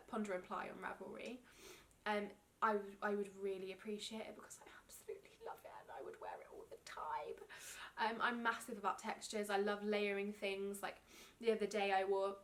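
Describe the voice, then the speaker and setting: A woman's voice: mid-range voice, a bit bright. Stuffy-nosed young woman, rambling and gushing. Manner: chatty and rambling, many ums, gushing. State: stuffy nose from a cold. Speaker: knitting podcast host. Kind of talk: casual chat to camera.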